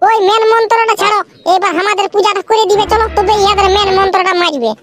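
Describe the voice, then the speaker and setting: A man voicing a whiny, excitable village schoolboy in a comic cartoon, with a Purulia Bengali accent and a pitched-up voice. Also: quick pace, over loud music. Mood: whining. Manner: complaining, rustic. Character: whiny, excitable village boy, lazy exam student. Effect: pitch-shifted up. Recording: very noisy track with ambient sound.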